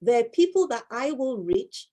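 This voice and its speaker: A woman's voice: a little high, big pitch swings, normal speed, warm tone. A woman in her fifties, a life coach and former solicitor, giving an earnest motivational talk and repeating her key phrases for emphasis.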